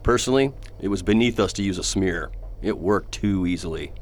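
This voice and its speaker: A man as a low-voiced, grave space leader. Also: slight background noise, lightly acted. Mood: measured, determined. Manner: deliberate, formal, commanding. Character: grave, authoritative male leader of a galactic council. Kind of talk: science fiction radio drama acting.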